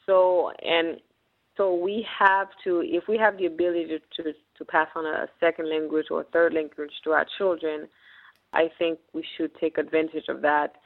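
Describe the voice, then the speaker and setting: A woman's voice: deep voice, flat delivery, moderate pace. A woman from Haiti, a business owner, talking as a guest in a radio interview, earnest and often restarting her words. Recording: tinny phone-like radio broadcast.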